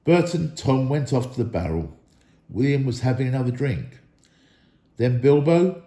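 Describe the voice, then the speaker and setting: A man's voice: mid-range voice, mellow. A man reading a story aloud as a dramatic narrator. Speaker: school staff member reading aloud. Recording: echoey room.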